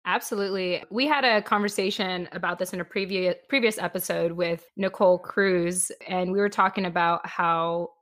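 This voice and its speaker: A woman's voice: fairly low pitch, steady tone, moderate pace, few pauses, fairly bright tone, dry recording, studio quality. A woman hosting a podcast, talking reflectively and rambling a little with fillers. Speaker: podcast host.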